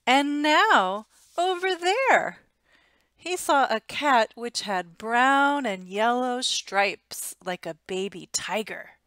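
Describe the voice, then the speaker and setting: An American woman in her thirties reading a children's picture book aloud in an expressive, deliberate way, on a headset mic in a small room at home. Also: on the high side, dry recording. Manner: expressive, deliberate, simple storytelling for young children. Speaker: storytime reader.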